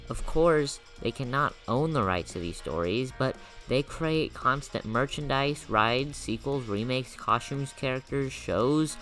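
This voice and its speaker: A man's voice: medium pitch, expressive, a little slow, few pauses, fairly bright tone, faint music behind, poor audio. A man reading scripted narration for a video essay.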